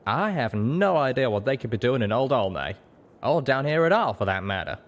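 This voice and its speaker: A man's voice: mid-range voice, big pitch swings, fairly quick, pitched above the performer's own voice. A man voicing a confident, teasing male pegasus pony with a folksy drawl, in an audio story. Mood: grim, determined.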